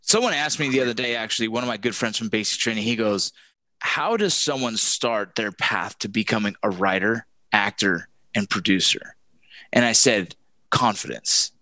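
Man, interview host, bright tense voice, dry close sound, conversational, repeats words. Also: lowish voice, moderate pace, no room sound, poor audio. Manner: conversational, repeats words when asking questions. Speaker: podcast host, army veteran.

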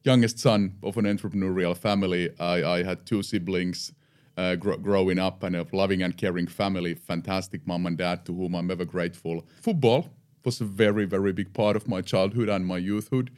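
Man, Finnish accent, middle-aged, very low pitch, reflective, many restarts, podcast guest. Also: steady tone, brisk, a little mellow. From Finland. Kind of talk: podcast interview.

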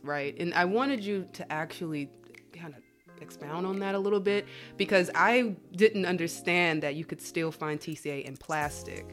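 Female podcast host, notably low register, chatty interview style, checks in often, music playing behind her. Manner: informal, checks in with tag questions. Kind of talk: conversational interview.

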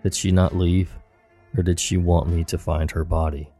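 A man narrating scary stories in a measured, low-key scripted delivery. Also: level tone, mellow, faint music behind. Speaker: horror story narrator.

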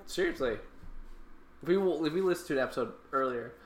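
A man's voice: on the high side, animated, fast. A man, bantering casually as a podcast co-host, blunt, teasing and full of swearing. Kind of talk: casual profane banter with a friend. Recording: echoey room with faint background noise.

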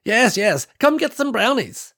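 A man's voice: very high-pitched, sing-song, measured pace, pushed and bright, voice pushed up, exaggerated voice. Man doing a folksy old farm woman. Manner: folksy and welcoming.

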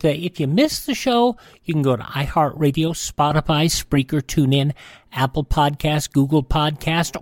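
A man's voice: on the high side, no echo, clean studio sound. A man hosting a radio show with folksy, scripted narration. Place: dry studio.

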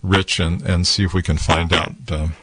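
A man hosting a casual call-in tech show from a small radio studio, talking in a relaxed, rambling way with lots of fillers and restarts. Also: low voice, moderate pace, no room sound. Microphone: studio microphone with headphones.

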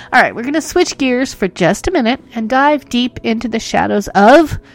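A woman's voice: a little high, animated, moderate pace, soft background music. A woman hosting a podcast who narrates history in a playful, self-mocking way full of jokes. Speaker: podcast host.

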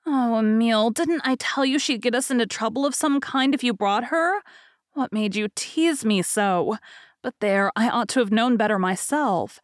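A woman's voice: a little high, moderate pace, no room sound, studio quality, pitched above the performer's own voice, lightly acted. A woman voicing a determined, earnest young farm woman in a storybook reading, lifting her voice for the part. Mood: scolding.

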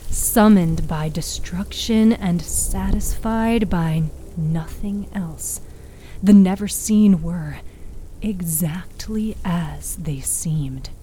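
A woman narrating a dark story in a hushed, breathy, suspenseful, dramatic manner. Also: on the low side, a little hiss or hum, rough recording. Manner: slow, hushed, suspenseful delivery. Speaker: narrator and author of an audio fiction podcast.

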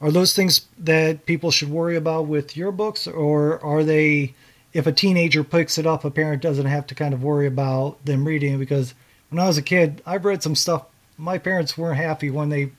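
A man's voice: on the high side, steady tone, normal speed. A man hosting a podcast, interviewing a guest author in a casual, conversational way.

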